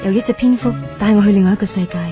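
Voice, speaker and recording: A woman's voice: mid-range voice, steady tone, rapid-fire, natural voice. An actress playing an anxious, curious young woman recovering from surgery in a radio drama, speaking Hong Kong Cantonese in a hesitant, apologetic, questioning way. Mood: pensive, uneasy. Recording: radio drama with loud music underneath. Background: music.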